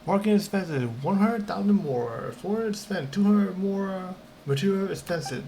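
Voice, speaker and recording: A man giving casual, halting let's-play commentary, thinking aloud as he plays. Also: high voice, lively intonation, dark tone. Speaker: game streamer. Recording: noisy mic, game audio behind.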